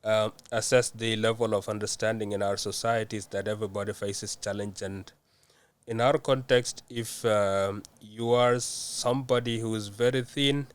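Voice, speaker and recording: A young man from South Sudan answering interview questions in a measured way, with many hesitant fillers. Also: fairly low pitch, steady tone, clean tone, no room sound. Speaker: guest.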